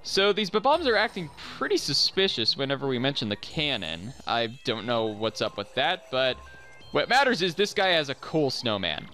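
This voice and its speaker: A man's voice: mid-range voice, big pitch swings, moderate pace, sharp tone, soft background music. A young man giving chatty, self-deprecating commentary over recorded gameplay. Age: young adult. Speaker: let's play commentator.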